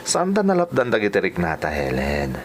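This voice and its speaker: A man's voice: low-pitched, wildly swooping pitch, normal speed, a little hiss or hum. A man acting a flirtatious, persuasive driver in a radio drama. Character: Lauro, flirtatious, persuasive male driver courting a coworker.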